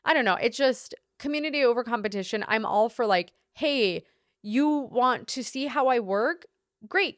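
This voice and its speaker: A woman's voice: medium pitch, no room sound, clean studio sound. Blunt, opinionated woman podcast host. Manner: blunt and opinionated, swears freely. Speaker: podcast co-host and wedding photographer. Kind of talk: casual podcast chat.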